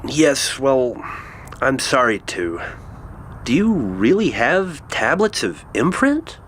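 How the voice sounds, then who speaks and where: Man playing a skeptical, animated young nephew. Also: mid-range voice, takes it slowly, noisy background. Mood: apologetic. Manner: incredulous, challenging. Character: skeptical, scornful young nephew, studious and devout. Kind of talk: dramatized audio fiction acting.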